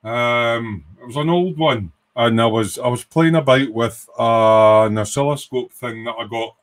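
A man's voice: mid-range voice, measured pace. A man in his sixties with a Scottish accent, hosting a casual, rambling livestream chat in an informal way. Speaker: livestream host, hobbyist. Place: small home workshop room.

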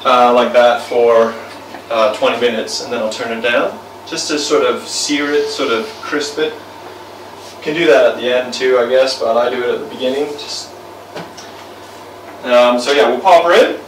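A young man ambles through a home cooking demo, full of "uh"s and easy asides. His voice is picked up from a camera across the kitchen, so it sounds roomy and a little distant. Soft clatter of pans sits underneath. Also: mid-range voice, normal speed, a little hiss or hum. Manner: relaxed, rambling, lots of fillers. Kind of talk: casual cooking demonstration. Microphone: camera mic from across the room.

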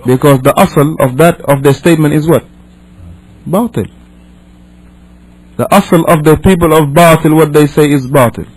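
A man with a somewhat high-pitched voice gives a religious lesson as an imam from Senegal. He is not a native English speaker. He reads and explains, repeating phrases emphatically and putting rhetorical questions to his listeners. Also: normal speed, long pauses, rough recording. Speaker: imam teaching a religious class.

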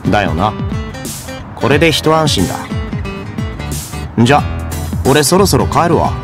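Casual, nonchalant hero. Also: male voice, medium pitch, sing-song, unhurried. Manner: casual, unbothered. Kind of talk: Japanese anime game acting. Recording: music behind the voice.

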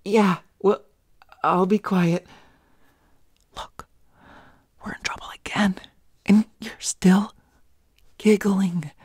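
A young woman plays a pouty teenage schoolboy in an intimate audio roleplay, very breathy and low-pitched for a female voice. He stammers slowly and haltingly, often dropping to a hush, indignant yet secretly smitten. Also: no room sound, clean studio sound, full character voice. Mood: annoyed, flustered. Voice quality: breathy, hushed, often whispering.

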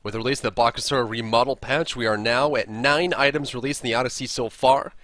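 A man's voice: medium pitch, steady tone, fast talker, a bit bright, no room sound. A man presenting a scripted video tutorial, explaining things step by step. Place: close, dry voice-over recording.

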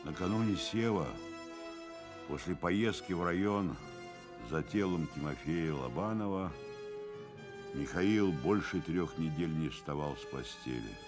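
A solemn storyteller in a Soviet radio play. His voice is deep and he takes his time, giving the village tale dramatic weight over clear music. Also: animated, unhurried. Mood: dramatic, brooding. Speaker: narrator.

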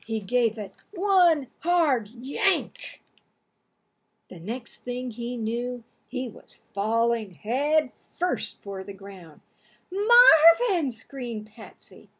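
An older woman in her sixties reads a children's story aloud. Her voice is fairly high and husky, and she takes her time with an expressive, storytelling delivery. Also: very slow. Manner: expressive, acts out dialogue and action. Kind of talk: children's book read-aloud. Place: small home room, indoors.

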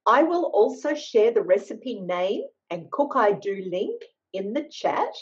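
A woman's voice: mid-range voice, very animated, unhurried. A woman in her fifties giving a welcoming introduction to an online class, as the organizing manager. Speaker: sales manager opening an online cooking class. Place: small home office on a video call. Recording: dull video call audio.

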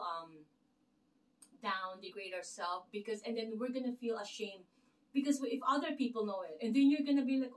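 Filipino-accented woman talking from across the room. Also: on the high side. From Philippines. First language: Tagalog. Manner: earnest, rambling, many fillers and restarts. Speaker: channel host. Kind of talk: casual faith talk to camera. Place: living room with a lit Christmas tree. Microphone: camera across the room. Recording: distant camera mic in a room.

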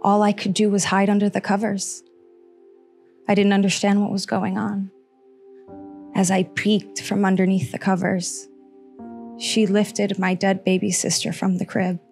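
Woman in her thirties telling her story slow and earnest on stage, handheld mic, soft music behind. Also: medium pitch, level tone, vocal fry. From Costa Rica. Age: 30s. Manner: slow, earnest, heartfelt delivery with pauses. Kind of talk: personal story monologue. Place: small stage in front of seated audience.

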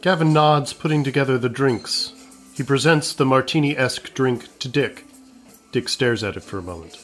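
Guy narrating a scripted comedy podcast, medium pitch, light music behind. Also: moderate pace, a bit bright.

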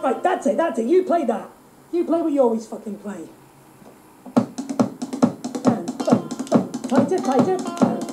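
A man's voice: extremely high pitch, very animated, unhurried, long pauses, roomy sound, over loud music, heavily acted, poor audio. A man playing a tyrannical, impatient, foul-mouthed record producer in a film drama. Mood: impatient, demanding. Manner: bossy, impatient, sings out parts as nonsense syllables.

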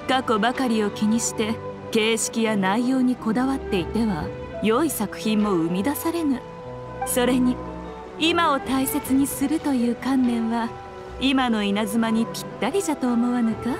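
Sly ancient shrine maiden, high elegant voice, archaic wording, haughty, teasing, anime game, music underneath. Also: female voice. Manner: archaic, haughty, playfully self-assured speech. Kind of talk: Japanese anime game voice acting.

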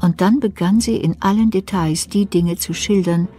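A woman's voice: lowish voice, moderate pace, warm tone, soft background music. A woman narrating a scripted radio play as a storyteller.

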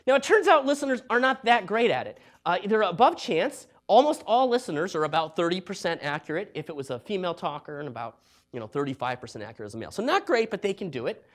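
A middle-aged man giving an academic lecture in a hall, talking in a lively, conversational way with joking asides. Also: high voice, fast talker. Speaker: university researcher giving a talk.

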